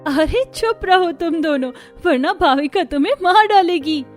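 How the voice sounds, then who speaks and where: Woman acting a high, cheeky teasing young sister. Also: expressive, moderate pace, faint music behind. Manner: mocking, playful, exclamatory. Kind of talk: Hindi cartoon story acting.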